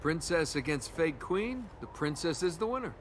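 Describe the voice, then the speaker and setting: A man's voice: fairly high pitch, very animated, normal speed, slight background noise. An actor playing a flippant young male adventurer in a video game, casual and full of sardonic quips. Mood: cocky, joking.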